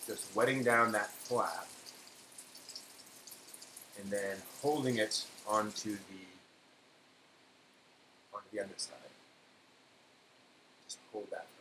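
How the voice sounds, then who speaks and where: A man hosting a casual live stream, chatting in an offhand way as he thinks aloud. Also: fairly low pitch. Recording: roomy echo, faint hiss.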